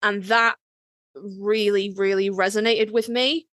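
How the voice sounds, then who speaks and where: A woman's voice: mid-range voice, steady tone, a little slow, a bit bright, no echo, clean studio sound. A woman hosting a podcast in casual, conversational chat. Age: adult, parent. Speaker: podcast host.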